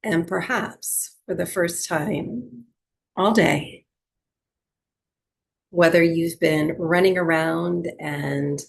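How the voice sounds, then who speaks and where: A woman teaching yoga and breathwork, gently guiding a relaxation meditation with soothing, unhurried instruction and long pauses. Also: lowish voice, fairly even intonation, gravelly.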